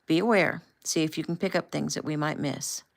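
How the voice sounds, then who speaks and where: Brief: narrator reading a terse male security chief, low gravelly voice, clipped orders. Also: brisk. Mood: brisk.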